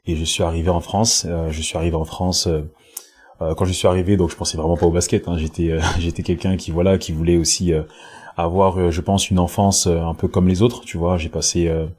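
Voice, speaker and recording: A man's voice: sits low, flat delivery, a little fast, a little mellow, slightly rough. A man from Congo, a pro basketball player, talking casually as a podcast guest, hesitant with many fillers and repeats, recorded on his own home podcast mic. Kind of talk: casual interview talk. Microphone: home podcast mic with headset.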